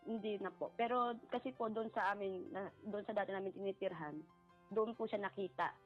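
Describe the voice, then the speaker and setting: A middle-aged woman, giving a personal account in a polite, halting way and often repeating herself. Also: mid-range voice, fairly even intonation. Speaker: caller seeking advice. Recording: muffled lo-fi voice over background music.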